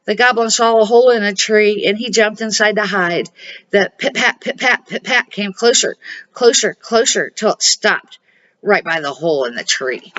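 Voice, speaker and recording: An older woman reads a picture book aloud for children. Her voice is bright, with homespun phrasing and dramatic pauses, captured on a slightly muffled home recording. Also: mid-range voice, animated. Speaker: storybook reader and series host. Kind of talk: storybook narration for children.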